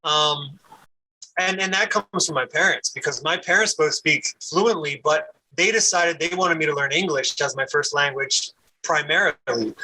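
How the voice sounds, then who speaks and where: Bright, high-voiced man reminiscing casually. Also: moderate pace, slight background noise, rough recording. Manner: rambling, repeats words, laughs easily. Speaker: podcast guest. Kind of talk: casual conversation.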